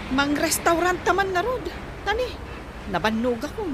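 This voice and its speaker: An actress with an Ilocano Filipino accent playing an exasperated wife who nags about money in a comedy drama. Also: female voice, very high-pitched, big pitch swings, moderate pace, noisy background. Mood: complaining. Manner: complaining, exasperated. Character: nagging exasperated wife fretting over household spending.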